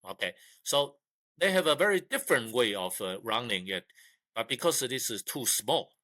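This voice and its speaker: An older man, speaking English as an in a halting interview style full of restarts, on a video call. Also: medium pitch, sing-song, sharp tone, no echo. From Taiwan. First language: Mandarin. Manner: halting, repeats words, many uh fillers. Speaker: guest, retired engineer. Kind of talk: casual interview. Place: indoor room.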